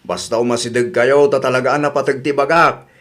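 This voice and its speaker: A man's voice: medium pitch, steady tone, fairly quick, a bit bright, close to an everyday voice. A man playing a boastful, lying young suitor in an Ilocano radio comedy drama, with an Ilocano accent and an exaggerated, stammering delivery. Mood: pleading, scheming.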